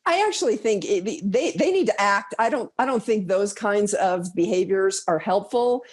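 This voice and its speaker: A woman giving unscripted legal commentary in a measured, halting way with stammered restarts. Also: mid-range voice, animated, husky.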